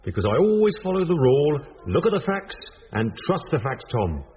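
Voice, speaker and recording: A man's voice: a little high, big pitch swings, brisk. British male detective, down-to-earth, emphatic, self-assured, swooping intonation, muffled radio drama. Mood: confident, dismissive. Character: cocksure, down-to-earth police detective brother. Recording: muffled radio drama, loud music behind.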